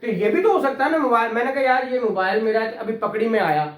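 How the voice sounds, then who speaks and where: A man's voice: very high voice, quick pace. A man with a Pakistani Punjabi accent lecturing on law to a class, repeating key phrases and prompting students with questions. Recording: echoey, reverberant room.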